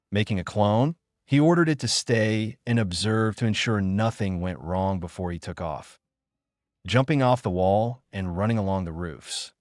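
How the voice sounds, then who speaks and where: A man with a fairly low voice narrates a scripted adventure story. The recording is dry and close, with very little room sound around the words. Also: no room sound. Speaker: narrator of fan fiction story videos. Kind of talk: scripted story narration reading dialogue and action.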